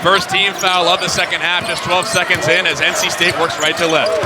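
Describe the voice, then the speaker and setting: A young man doing radio play-by-play of a basketball game, quick and building in excitement on big plays. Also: high voice. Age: young adult. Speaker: play-by-play announcer. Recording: loud arena noise behind.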